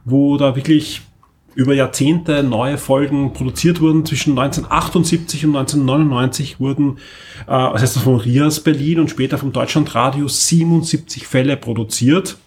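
An Austrian-accented man hosts a podcast in a casual, enthusiastic, rambling way. His voice sits at a middle pitch, with faint noise in the background. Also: fairly even intonation, fairly quick, darkish tone. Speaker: podcast host.

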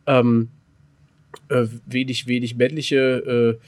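A man's voice: mid-range voice, level tone, takes it slowly. A middle-aged man co-hosting a podcast in casual, bantering chat, talking in a blunt, colloquial way with some crude slang. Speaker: podcast co-host.

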